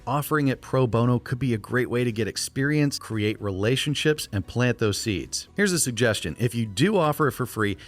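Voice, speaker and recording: An American man with a trained radio voice, coaching viewers in a clear, emphatic, scripted presentational style. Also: mid-range voice, expressive, a little fast, hardly any pauses. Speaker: voiceover coach and video host. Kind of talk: scripted instructional narration to viewers.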